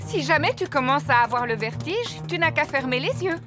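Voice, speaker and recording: A woman in a French cartoon dub voicing a patient, polite mother pig with a gently firm manner. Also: sits high, animated, fairly quick, music in the background. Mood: kindly.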